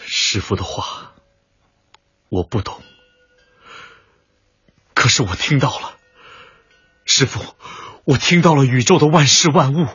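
An actor playing an earnest, intense martial arts disciple bent on revenge in a radio drama, with a husky, breathy voice. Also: male voice, medium pitch, animated, takes it slowly, long pauses, fairly bright tone, no echo, lo-fi sound, heavily acted. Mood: earnest, puzzled. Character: earnest male kung fu disciple, obsessed with revenge. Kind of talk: Chinese radio drama acting.

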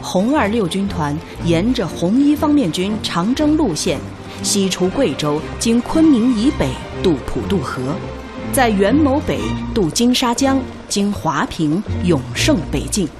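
A woman's voice: medium pitch, very animated, unhurried. A woman giving formal, declamatory scripted narration for a historical drama. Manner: solemn, declamatory. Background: background music.

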